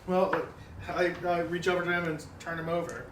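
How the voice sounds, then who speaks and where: Man, fairly high voice, casual game player, far from mic, roomy echo. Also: steady tone, moderate pace, fairly bright tone. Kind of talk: casual role-playing chat. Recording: distant, echoey, faint noise.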